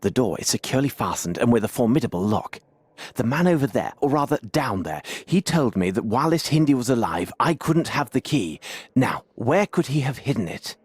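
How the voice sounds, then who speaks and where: An actor playing a shrewd, authoritative Victorian gentleman detective in a video game. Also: mid-range voice, sing-song, normal speed, slightly rough, no echo. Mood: brisk, assured.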